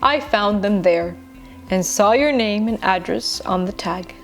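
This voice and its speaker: A woman storyteller voices a polite, well-dressed gentleman from a storybook. He speaks with formal courtesy, and soft music plays underneath. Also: medium pitch, lively intonation, measured pace. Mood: courteous.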